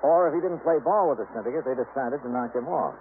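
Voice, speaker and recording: An American man playing a wry, confident freelance insurance investigator in a 1950s radio drama, brisk, sardonic and probing. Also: medium pitch, close to an everyday voice. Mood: sardonic, insistent. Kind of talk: 1950s radio detective acting and first-person narration. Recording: noisy, narrow-band 1950s radio recording.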